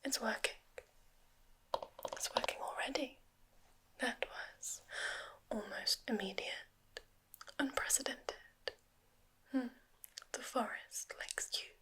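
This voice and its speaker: A young woman whispering as a sly, matter-of-fact forest witch in an ASMR roleplay, close to the microphone, with soft personal attention and dry wit. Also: fairly high pitch, takes it slowly, pauses often. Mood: teasing.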